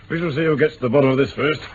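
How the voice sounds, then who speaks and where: An actor playing a hard-headed, impatient police inspector in a mid-century radio drama, blunt and dismissive. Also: male voice, mid-range voice, natural voice. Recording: muffled, noisy old radio recording.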